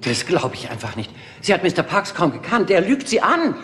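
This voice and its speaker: An actress playing an aging, tired film star in a crime radio play, gracious but easily shaken. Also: female voice, lowish voice, sing-song, brisk, fairly bright tone, a little hiss or hum. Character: aging, weary female film diva.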